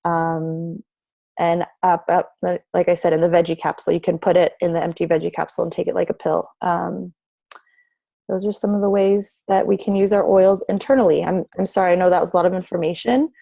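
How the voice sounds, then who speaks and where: A woman's voice: fairly low pitch, fairly even intonation, fairly quick, gravelly, warm tone, no room sound. A mother in her thirties presenting an online class, speaking with lots of fillers.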